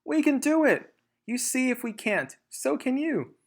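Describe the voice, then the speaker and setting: A man voicing small, eager young foxes in a children's storybook, excitable and pleading with their father. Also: very high-pitched, unhurried, creaky, pitched above the performer's own voice, heavily acted. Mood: excited. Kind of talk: children's storybook read-aloud.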